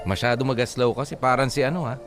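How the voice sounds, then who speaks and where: A man's voice: mid-range voice, fairly even intonation, quick pace, loud music behind the voice. A man with a Filipino accent hosting a radio show in casual, bantering talk. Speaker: radio host reading a letter.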